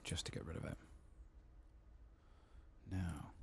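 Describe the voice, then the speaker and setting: A man doing soft-spoken ASMR game commentary, gentle and unhurried, often slipping into a whisper close to the microphone. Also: slight background noise. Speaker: gaming ASMR streamer.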